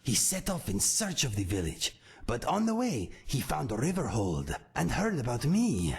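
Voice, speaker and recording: An actor voicing a calm, earnest male cat-folk swordsman in a game, speaking in a measured, formal way with a raspy voice. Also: mid-range voice, exaggerated voice. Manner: measured, formal and warm-hearted. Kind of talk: game character dialogue.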